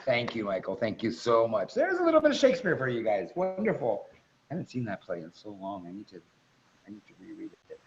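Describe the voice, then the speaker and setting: A man hosting a staged reading over a video call, making effusive, chatty, informal introductions in a gravelly voice. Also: mid-range voice, huge pitch swings, fairly quick, slight background noise. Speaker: host of a staged reading event. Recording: dull, muffled video-call audio.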